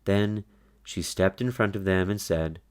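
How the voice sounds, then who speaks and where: A man narrating a children's storybook aloud, with expressive, deliberate, scripted storytelling. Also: deep voice, smooth.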